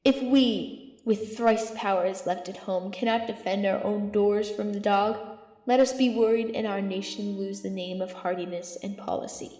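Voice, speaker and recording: A woman's voice: medium pitch, steady tone, moderate pace, warm tone, close to an everyday voice. A young woman playing an earnest, hawkish archbishop in a Shakespearean audio drama, declaiming formal verse. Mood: urging. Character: earnest, hawkish archbishop counselling a king to war. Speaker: amateur student actor. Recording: echoey hall reverb under music.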